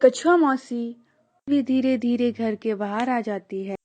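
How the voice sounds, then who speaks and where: A woman narrates a children's tale in a fairly high voice. She takes her time, with very slow, scripted storytelling delivery. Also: a little mellow.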